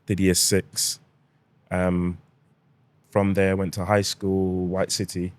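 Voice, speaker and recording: A man in his forties, born in Jamaica, who speaks with a London accent and tells his story in a relaxed, easygoing way as a podcast guest. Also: sits low, slow. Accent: London English with Jamaican roots. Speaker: guest, restaurant founder. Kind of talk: casual podcast interview.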